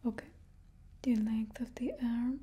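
Woman doing a villain's stylist, put-on Russian accent, close whisper, slow, mellow, blunt but gentle, ASMR. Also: a little high, level tone, slightly rough. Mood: hushed. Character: blunt female stylist for supervillains. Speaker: ASMR roleplay performer. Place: indoors, small studio with painted backdrop. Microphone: close ASMR microphone.

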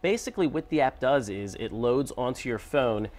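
A young man explaining tech in a TV studio, talking loosely with frequent fillers. His pitch sits in the middle. A lapel mic captures him close and clean. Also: animated, normal speed, darkish tone. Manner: informal, filler-heavy explaining with restarts. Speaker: computer expert guest. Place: TV news studio.

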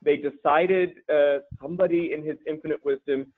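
A male high school language teacher talking on a panel, with wry, self-deprecating humor. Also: steady tone, moderate pace. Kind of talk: casual panel discussion. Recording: tinny phone-like video call audio.

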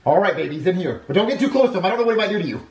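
A man's voice: high-pitched, lively intonation, very fast, far from the mic. A man voicing an anxious, whiny dad who gets exasperated and repeats himself in a puppet comedy skit. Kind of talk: rehearsed comedy skit with retakes. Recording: echoey room, off mic.

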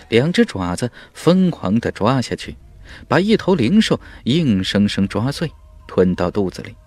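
Male audiobook narrator, lowish and very lively, emphatic fantasy storytelling, close dry recording. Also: no room sound. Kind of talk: dramatic scripted narration of a fantasy novel.

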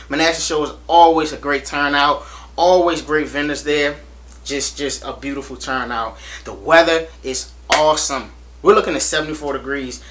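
A man's voice: a little high, a little hiss or hum. Hyped young man plugging a reptile show. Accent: American. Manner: hyped, animated, repeats himself a lot. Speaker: reptile channel vlogger. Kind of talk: casual to-camera promo vlog. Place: small indoor room against a plain wall.

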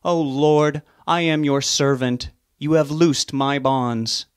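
A man voicing a solemn funeral officiant slowly reading scripture. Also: on the high side, fairly even intonation, smooth, no echo, clean studio sound, voice pushed up.

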